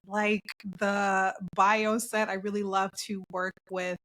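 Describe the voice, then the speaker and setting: A woman's voice: mid-range voice, flat delivery, slow pace, a bit bright. A woman from New York City, born in Guyana, speaking as an interview guest in a conversational, explanatory way. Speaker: podcast guest, portrait photographer.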